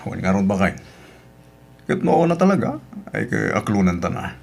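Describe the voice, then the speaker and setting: A man with an Ilocano accent playing a supportive cousin and devoted husband in a radio drama, animated and earnest. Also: on the low side, moderate pace, creaky, full character voice.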